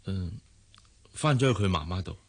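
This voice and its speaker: A man's voice: low-pitched, lively intonation, normal speed, breathy edge, full character voice. A man with a Hong Kong Cantonese accent acting in a radio drama as a worried, exasperated father and boss who sighs as he talks. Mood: weary, exasperated. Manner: sighing, exasperated.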